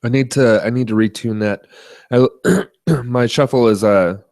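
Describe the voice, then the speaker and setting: A man co-hosting a podcast, chatting casually about tech and media in a halting, rambling way. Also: mid-range voice, gravelly, darkish tone, no room sound, clean studio sound. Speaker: podcast co-host.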